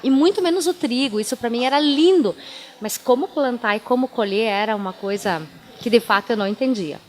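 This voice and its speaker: Native German speaker from southern Brazil; female pastor, interview guest. Slightly high voice, chatty anecdotal delivery. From Timbó, southern Brazil. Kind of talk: radio interview, life storytelling.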